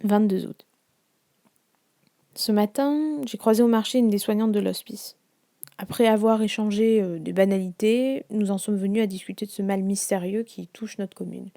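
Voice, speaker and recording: A woman playing a composed, attentive 1950s village doctor in an audio drama, speaking in a measured, questioning way. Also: mid-range voice, gravelly, dark tone, no room sound, studio quality, lightly acted. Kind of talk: audio fiction acting, journal narration.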